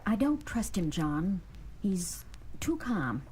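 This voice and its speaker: A woman's voice: medium pitch, very animated, slow pace, breathy edge, full character voice. A woman acting in an audio drama, playing a cautious, concerned doctor on a space base in an earnest, dramatic way. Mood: wary, urgent. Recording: loud dramatic music behind the voice.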